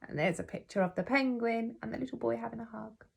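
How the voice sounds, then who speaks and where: A woman reading a children's story aloud in an expressive, measured way for young listeners. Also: a little high, creaky, breathy edge. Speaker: infant school staff member reading to pupils.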